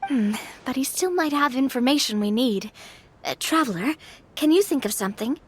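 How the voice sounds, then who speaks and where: A woman playing a chirpy little companion girl from a game, bubbly and coaxing. Her voice sits high and swoops lively up and down. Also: normal speed, husky.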